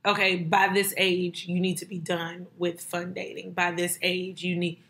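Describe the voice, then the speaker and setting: A woman in her twenties hosting a casual group chat, animated and quick to interject. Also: on the low side. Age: 20s. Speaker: podcast host.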